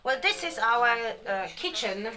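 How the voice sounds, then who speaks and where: Higher-pitched woman presenting formally. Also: very animated, a little slow, bright, forceful tone. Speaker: conference speaker. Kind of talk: formal conference presentation on health policy procedures.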